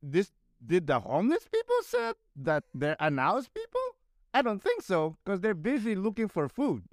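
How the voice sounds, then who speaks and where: A man's voice: sits high, huge pitch swings, normal speed, no echo, studio quality. A young Filipino man with a Filipino accent, talking bluntly and animatedly on a casual podcast, swearing and mocking other people in put-on voices. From Philippines. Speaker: podcast guest.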